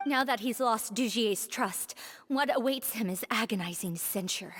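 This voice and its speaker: Anxious, high-voiced young informant. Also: female voice, moderate pace, a bit bright, breathy edge. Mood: anxious, earnest. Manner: careful, formal, hesitant. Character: frightened, earnest young woman informant, deferential. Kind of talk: video game voice acting.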